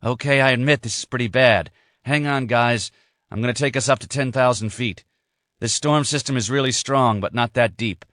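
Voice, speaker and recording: A man reading aloud, voicing a calm male pilot who talks in a reassuring, matter-of-fact way. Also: medium pitch, normal speed, no echo, clean studio sound, voice pushed up, close to an everyday voice.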